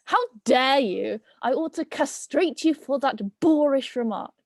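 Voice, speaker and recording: A haughty talking goat acted by a woman, high-voiced and slow, savoring elaborate words. It is pompous and sharp-tongued, recorded close and dry for a myth play. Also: animated, no echo. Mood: indignant. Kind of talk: amateur dramatic play reading.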